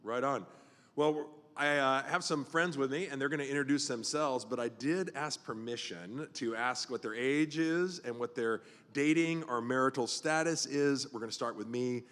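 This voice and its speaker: A man's voice: medium pitch, moderate pace, fairly bright tone, long reverb tail. A man in his fifties, a pastor hosting a casual podcast chat, talking loosely with lots of fillers. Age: 50s.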